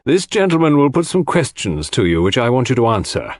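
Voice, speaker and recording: A man voices a polite gentleman of the house in an audiobook mystery. He is anxious and easily bewildered, with animated swings in pitch and a dry, close sound. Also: a little fast, no echo. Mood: instructing.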